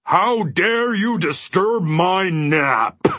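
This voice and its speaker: A man's voice: medium pitch, very animated, measured pace, a bit bright, no echo. A man voicing a huge gelatinous giant in a storybook, ferocious and peevish but secretly timid and indecisive. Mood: roaring, furious. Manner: bellowing, hedging, indecisive.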